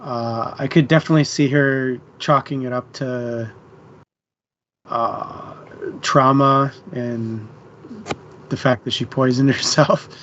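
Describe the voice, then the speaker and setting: A man's voice: medium pitch, fairly even intonation, takes it slowly, pauses often, a bit bright. Casual man, dull audio with faint noise. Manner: informal, addresses the live chat. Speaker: book club stream host. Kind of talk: casual book discussion.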